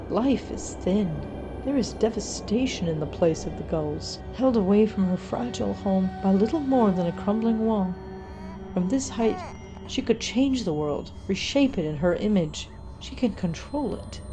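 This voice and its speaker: A woman reading a scripted horror story in a measured, dramatic storytelling manner. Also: mid-range voice, expressive, over music, low-quality recording. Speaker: story narrator.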